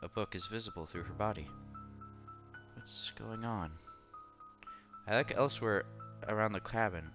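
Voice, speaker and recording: A man's voice: lowish voice, normal speed, pauses often, fairly bright tone, highs cut off, soft background music. A man doing a casual let's-play, reading a visual novel aloud with asides and stumbling over words as he goes.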